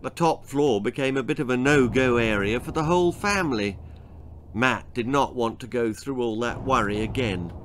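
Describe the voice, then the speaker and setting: A man's voice: mid-range voice, fairly bright tone, slight background noise. A man reading a spooky, darkly comic children's story as its author, with dramatic, suspenseful delivery. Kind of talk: scripted children's horror-comedy storytelling.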